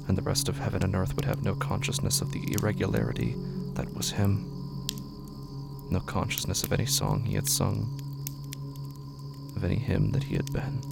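A man with a gravelly, creaky voice giving brooding, hushed, literary narration in a horror audio drama. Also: low-pitched. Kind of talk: scripted literary narration, solemn and slow.

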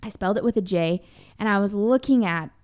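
A woman's voice: mid-range voice, brisk, darkish tone. A young woman chatting casually as a podcast co-host, with lots of filler words. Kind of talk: casual chat.

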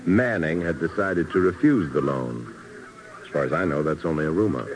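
An actor playing a cool, skeptical investigator in a 1950s radio drama, dry and unruffled. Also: male voice, a bass voice, lively intonation, moderate pace. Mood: cool, probing. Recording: noisy old radio broadcast recording.

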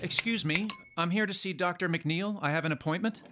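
An actor playing an earnest, deferential young ethnographer in an old-time radio drama, polite and slightly hesitant. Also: male voice, high voice, a little fast, pushed and bright, no room sound, faint music behind. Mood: polite, tentative. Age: young adult.

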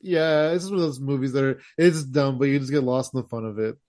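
An American man hosting a casual interview, easygoing and quick to agree. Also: medium pitch, darkish tone, no room sound, studio quality. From United States. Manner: easygoing, says "hell yeah", laughs along. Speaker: host.

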